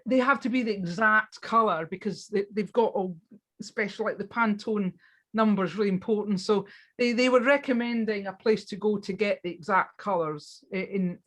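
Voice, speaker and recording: A woman's voice: medium pitch, moderate pace, fairly bright tone. A woman guest in a casual interview, talking with enthusiasm and often restarting her sentences. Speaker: guest on spiritual practice.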